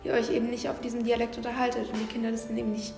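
A woman's voice: a little high, monotone, racing. A woman explaining matter-of-factly in a casual podcast discussion. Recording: echoey room with long reverb, faint music.

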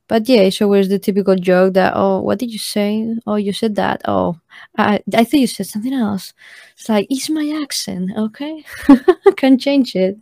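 A woman whose first language is Spanish chats in English with a warm, mellow tone. She talks casually and jokes about herself. The sound is dry and close. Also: medium pitch, moderate pace, gravelly, no echo. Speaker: podcast guest. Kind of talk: casual chat.

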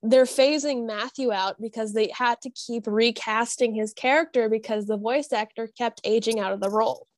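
A woman's voice: fairly high pitch, fairly even intonation, normal speed, few pauses, no room sound. A woman talking casually as a panelist in a podcast discussion. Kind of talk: casual chat.

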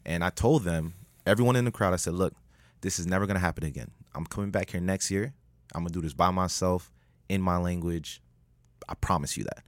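A man telling a story as a podcast guest, animated, jumping up in pitch when he jokes. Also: fairly quick, no room sound. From United States. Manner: animated, rises sharply in pitch when joking. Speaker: guest, public speaker.

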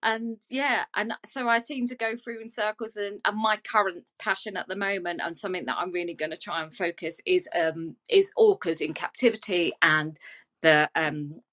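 Artist lady on a video chat, lower voice, gushes about stuff she loves. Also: steady tone, normal speed, fairly bright tone. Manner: gushing, enthusiastic. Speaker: guest artist. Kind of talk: online panel chat.

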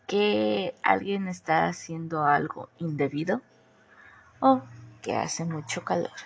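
A Mexican woman with a mixed Mexico City, Morelos and northern accent hosts a podcast at an unhurried pace. Her voice is deep-set, gravelly and husky. She chats easily and keeps asking the listener questions. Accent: Mexican Spanish, mixed Mexico City, Morelos and northern. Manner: chatty, asks listeners questions. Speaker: podcast host. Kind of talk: casual podcast monologue.

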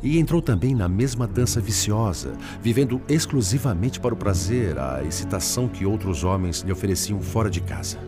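A man's voice: on the low side, animated, music in the background, lightly acted. An actor with a Brazilian accent playing a sorrowful male spirit, once a principled lawyer, in formal, eloquent radio-drama style. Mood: bitter, mournful. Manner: formal, eloquent, sorrowful, halting when troubled.

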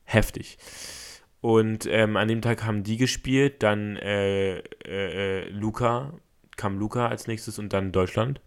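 A slightly hoarse young man from Germany, hosting a casual solo podcast and telling a story in an excitable, rambling way with many fillers and restarts. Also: on the low side, slow pace. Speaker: podcast host.

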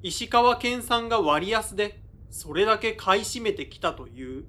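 A man acting as a young male narrator in an audio drama, his voice very bright and forward, pitched a little high. He tells his own story in measured, understated literary prose, with a deferential streak toward his elders. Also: lively intonation, exaggerated voice. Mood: matter-of-fact, observant.

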